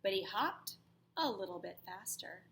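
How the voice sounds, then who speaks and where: A woman's voice: medium pitch, lively intonation, fairly bright tone, a little hiss or hum. A woman teacher reading a storybook aloud to children expressively, with dramatic emphasis and asides to her listeners. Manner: dramatic pauses, emphatic, questions to young listeners.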